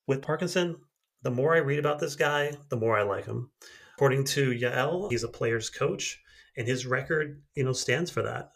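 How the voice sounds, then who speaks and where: A man's voice: mid-range voice, fairly bright tone. A man hosting a podcast, running through news in a conversational, informal way. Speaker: podcast host. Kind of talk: casual news rundown.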